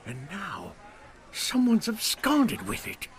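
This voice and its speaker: A man playing an indignant, accusing farmer with a raspy, creaky voice in a comic audio drama. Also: sits high, wildly swooping pitch, slow pace, heavily acted. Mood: outraged, accusing. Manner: blustering, accusatory. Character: indignant, accusing older male farmer with a grudge.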